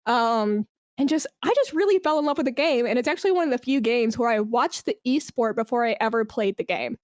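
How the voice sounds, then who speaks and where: A woman speaking as a guest in a casual podcast interview, animated and self-deprecating, with slangy phrasing. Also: fairly high pitch, a little fast, no room sound, clean studio sound. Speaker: podcast guest, esports brand manager.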